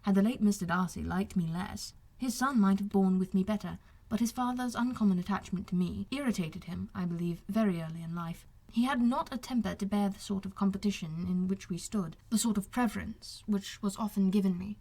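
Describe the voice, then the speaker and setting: Woman reading as husky, aggrieved young gentleman officer. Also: mid-range voice, a little fast, hardly any pauses, natural voice. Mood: resigned, bitter. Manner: formal, earnest, confiding. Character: wronged young gentleman officer, smooth-talking, self-pitying. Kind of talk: audiobook character dialogue.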